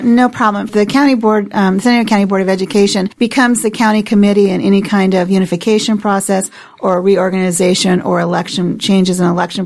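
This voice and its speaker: Gravelly woman reading details matter-of-factly. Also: medium pitch, a little mellow. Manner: matter-of-fact, with filler pauses. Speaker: panel guest. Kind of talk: reading out event details on radio.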